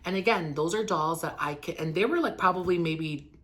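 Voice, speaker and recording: A man who is sick and hoarse, talking through a casual unboxing and review video as the channel's host, rambling eagerly with lots of ums and likes. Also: a little fast, pushed and bright. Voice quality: lower than usual because of the illness. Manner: eager and earnest about the doll. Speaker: doll collector and channel host.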